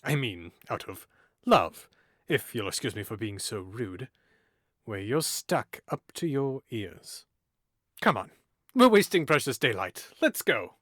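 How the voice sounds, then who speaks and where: An actor playing a witty, mocking young nobleman in an audio drama, playful and theatrical, with hushed and loud moments. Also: mid-range voice, big pitch swings, a little slow, no echo, clean studio sound.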